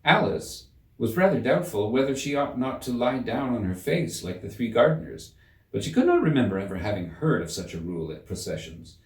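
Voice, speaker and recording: A man giving a measured, theatrical reading of a children's story in an echoing room. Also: mid-range voice, very animated, recorded from a distance. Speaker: audiobook narrator. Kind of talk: expressive scripted storybook narration. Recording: roomy echo, slightly off the mic.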